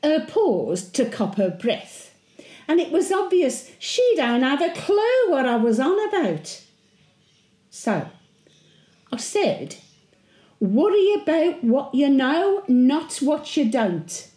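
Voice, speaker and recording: An actress playing a chatty, sentimental Birmingham widow of over a hundred in a homely, confiding monologue. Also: female voice, high voice, very animated, slow, warm tone, roomy sound, full character voice. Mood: firm, fond. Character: 101-year-old widowed Brummie great-grandmother, chatty, sentimental, plain-spoken. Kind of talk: dramatic monologue, intimate storytelling.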